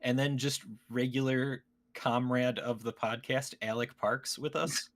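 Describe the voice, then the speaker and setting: A man's voice: mid-range voice, steady tone, a little slow. A man hosting a casual podcast, chatting in a joking, playfully teasing way. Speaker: podcast host. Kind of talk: casual comedic podcast chat.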